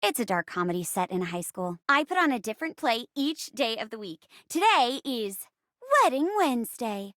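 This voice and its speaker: High, bubbly theatrical chair. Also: female voice, very animated, moderate pace, a bit bright, no echo, studio quality. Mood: bubbly, eager. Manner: bubbly, dramatic, stagey. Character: perky theatrical living chair, eager young stage actress. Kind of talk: animated dating-game character voice acting.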